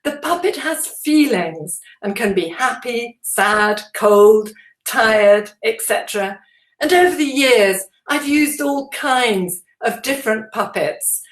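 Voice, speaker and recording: A woman giving an instructional presentation to teachers as a trainer, explaining in a measured way with deliberate emphasis. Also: a little high, animated, echoey room.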